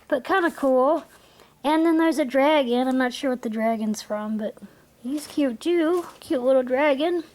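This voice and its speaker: A woman's voice: on the high side, a little mellow. A tired young woman rambling and giggling through a casual haul video, her voice worn out. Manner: rambling, giggly, with frequent restarts. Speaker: vlogger.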